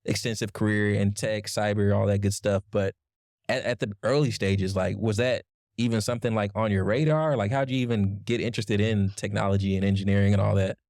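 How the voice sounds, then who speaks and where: Chill guy host, casual and slangy, kinda low voice, dry sound. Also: brisk, no echo. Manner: relaxed, conversational, slangy. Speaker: podcast host. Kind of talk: casual interview chat.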